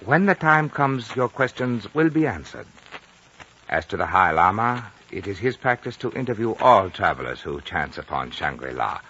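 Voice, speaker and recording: A composed, courteous lamasery official in an old radio drama. His voice is very deep and bright, with formal, courtly phrasing. The broadcast sound is dull, with background noise. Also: sing-song, moderate pace. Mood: formal, reassuring. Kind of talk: 1950 radio drama acting.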